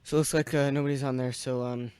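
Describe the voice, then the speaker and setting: A young man hosting an amateur radio net in casual, halting, easily distracted chat. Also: mid-range voice, little pitch movement, darkish tone, clean tone, no room sound.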